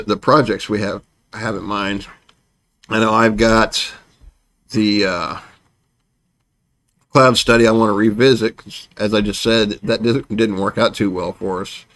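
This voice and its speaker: A man in his forties, host of a home art channel, talks casually about upcoming painting projects, rambling with many restarts and fillers, filmed seated in a small dark home studio. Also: fairly low pitch. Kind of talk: casual sit-down channel update.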